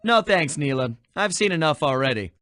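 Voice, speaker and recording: A man's voice: a little high, expressive, normal speed, a bit bright, dry recording, clean studio sound. A man voicing a suave young animal thief in a cartoon video game, wry, casual and unflappable. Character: suave, laid-back, wisecracking young male animal thief.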